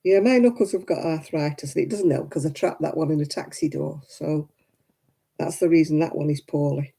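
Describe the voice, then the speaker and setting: A woman in her seventies hosting a crafting livestream, chatting homely and warmly with viewers by name and laughing often. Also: deep voice, brisk, vocal fry, breathy edge. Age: 70s. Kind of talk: casual chat reading out viewer comments.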